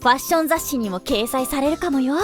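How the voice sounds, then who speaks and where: A woman's voice: sits high, brisk, close to an everyday voice. A woman playing a confident, teasing teenage schoolgirl idol in an anime-style Japanese voice drama, with poised, coaxing feminine speech. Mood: enthusiastic. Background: light tinkling children's music.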